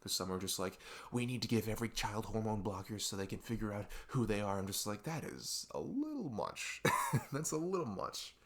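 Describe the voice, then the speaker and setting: Casting: male actor as earnest best friend for intimate audio roleplay; lowish, crackly voice, casual halting delivery, confiding straight to the listener. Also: big pitch swings, fairly bright tone. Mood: earnest, opinionated. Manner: rambling, halting, filler-heavy, laughs self-consciously. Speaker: ASMR audio roleplay performer. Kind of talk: casual improvised-sounding roleplay monologue addressed to the listener.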